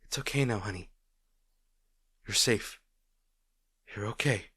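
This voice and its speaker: A man playing a gentle, protective boyfriend in an intimate roleplay audio, speaking in a tender, reassuring way. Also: lowish voice, slow pace, breathy edge, no echo, clean studio sound, exaggerated voice. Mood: tender, soothing. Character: gentle, protective boyfriend comforting a distraught partner.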